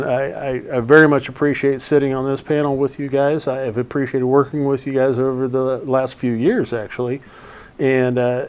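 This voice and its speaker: Clear-voiced man on a panel. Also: medium pitch. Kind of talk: casual panel discussion.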